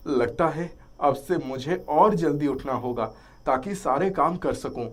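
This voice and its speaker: A man's voice: a little high, a little slow. A man voicing a diligent, overworked young truck driver in a cartoon moral story. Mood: earnest, determined.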